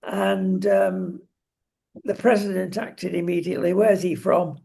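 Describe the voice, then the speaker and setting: A talk show presenter with a gravelly, husky voice, giving wry, opinionated takes in conversational talk about current affairs. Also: medium pitch, sing-song, measured pace, mellow.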